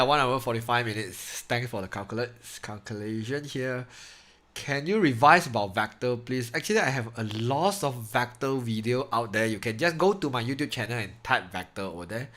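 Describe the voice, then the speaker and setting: A young man with a Malaysian English accent chats casually to his viewers during a live online maths class. His voice sits at an ordinary middle pitch for a man. He laughs easily and talks in a relaxed, loose way into a computer microphone. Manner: chatty, laughs often, loose grammar. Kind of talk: casual live-stream chat with students.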